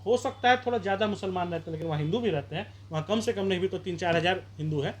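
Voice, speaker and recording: A man's voice: high-pitched, very fast. Male candidate, street interview, argumentative and rousing, light background noise. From Bihar, India. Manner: combative, rhetorical questions, campaign-style appeals. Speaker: independent election candidate.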